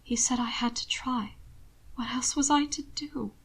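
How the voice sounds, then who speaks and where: A woman voicing a vulnerable, ashamed young man who speaks in a breathy whisper. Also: a little high, fairly even intonation, measured pace. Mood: hesitant, ashamed. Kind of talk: audiobook reading.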